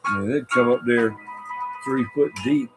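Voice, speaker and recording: A man's voice: medium pitch, normal speed, over loud music. A man chatting casually and in a rambling, folksy way as a CB radio operator hosting a show.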